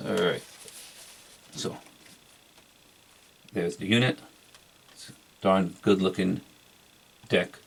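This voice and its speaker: A man with a low voice talks through a casual unboxing review. His delivery is flat and halting, moving without hurry from one feature to the next as he shows it. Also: gravelly, slightly rough, low-quality recording. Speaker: product reviewer.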